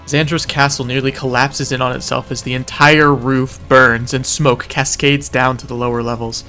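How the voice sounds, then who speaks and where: A man's voice: mid-range voice, flat delivery, normal speed, sharp tone, soft background music. A man narrating a scripted recap in a casual, wry way that slips into dramatic storytelling. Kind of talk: scripted narration, video recap of a roleplay event.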